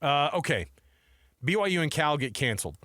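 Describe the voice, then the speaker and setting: A man's voice: on the high side, very animated, fairly quick, bright, forceful tone, no echo, studio quality. A man hosting a sports talk radio show in an informal, conversational way, talking like he is chatting with a buddy. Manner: informal, conversational, addresses listeners and co-host as man and dude. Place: radio studio.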